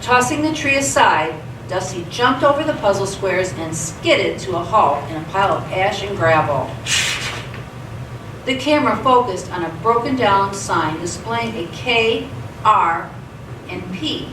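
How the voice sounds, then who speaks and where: A woman's voice: medium pitch, expressive, a little slow. A woman reading scripted story narration for a radio theatre production. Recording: echoey stage, distant mic, clear noise.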